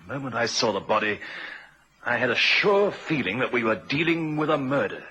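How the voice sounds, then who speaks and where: An actor playing a shrewd, probing police inspector with a husky voice in a vintage radio crime drama. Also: male voice, mid-range voice, very animated, moderate pace, bright, forceful tone, dull audio, a little hiss or hum. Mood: musing, dissatisfied.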